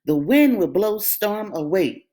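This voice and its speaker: A woman voicing an eager, loyal farm dog in a children's storybook, with a bright, barking voice. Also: mid-range voice, animated, slow pace, pitched above the performer's own voice.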